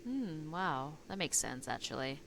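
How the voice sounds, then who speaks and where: A woman's voice: sits low, big pitch swings, a little slow, vocal fry, noisy background. A woman streamer giving casual reactions to a video game while its music plays behind her.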